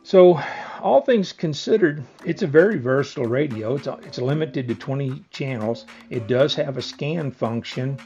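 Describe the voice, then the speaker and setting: A man's voice: mid-range voice, expressive, normal speed, mellow, muffled recording, faint music behind. A man giving a casual hands-on product demonstration in a plainspoken, step-by-step explanatory way. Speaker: product reviewer.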